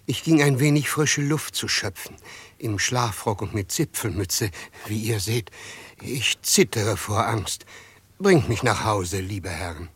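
Husky, frightened, pleading man. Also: lowish voice, expressive, a little slow, fairly bright tone, no echo, exaggerated voice. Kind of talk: 1950s German radio play acting.

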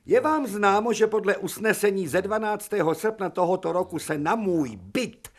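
An actor playing an imperious, sarcastic old-school professor and surgeon in a radio drama, with precise, formal, cutting diction. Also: male voice, high voice, animated. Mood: imperious, irritated.

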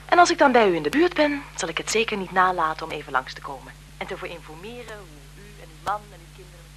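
An actress playing a frail-seeming, calculating blackmailer in a radio drama, deferential and insinuating in manner. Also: female voice, on the low side, sing-song, quick pace. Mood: menacing, smooth. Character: frail-seeming, calculating female blackmailer, photographer's bereaved sister. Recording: 1970s radio recording with noise.

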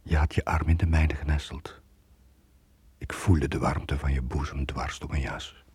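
Deep, husky Flemish sailor, middle-aged. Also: male voice, fairly even intonation, darkish tone, exaggerated voice. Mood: brooding, regretful. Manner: brooding, halting confession. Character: weary, guilt-ridden middle-aged sailor, haunted and reluctant. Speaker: actor and narrator in a radio drama. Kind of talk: 1980s Dutch-language radio drama acting.

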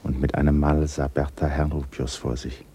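A man narrating a literary radio drama in a measured, expressive storytelling manner. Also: a bass voice, breathy edge, dry recording. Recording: noisy radio production with ambience. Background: noise, ambience.

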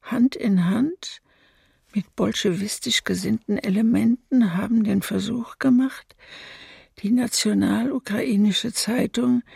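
Radio docudrama: stern editorial voice, woman, breathy, deliberate, close dry sound. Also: medium pitch, darkish tone, no room sound, heavily acted. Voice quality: creaky, breathy. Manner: measured, formal. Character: stern female voice of a wartime newspaper editorial. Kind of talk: document reading in radio docudrama.

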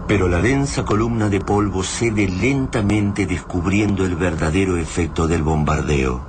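A man with an Argentine accent playing a grave narrator of an alien invasion radio drama, speaking in a solemn, deliberate, ominous way. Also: low voice, background noise, close to an everyday voice.

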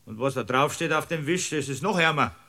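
An impatient male judge in a radio drama, with a forceful, bright voice set a little high. He is brusque and formal, stern and exasperated with those before him. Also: expressive, a little fast. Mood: scornful, formal. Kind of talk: radio play acting.

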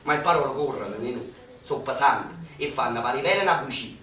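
A man's voice: mid-range voice, expressive, a little fast, pushed and bright, a little hiss or hum. An actor with a Neapolitan accent playing a cautious man worried about what people say, in a radio stage play. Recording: roomy distant stage sound with music.

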